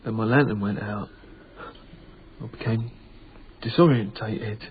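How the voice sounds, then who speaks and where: A man's voice: on the low side, measured pace, lightly acted. An actor playing a frightened, pious Victorian night watchman who speaks formally, deferentially and hesitantly in a radio drama. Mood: haunted, fearful. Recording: tinny band-limited radio broadcast, noisy background.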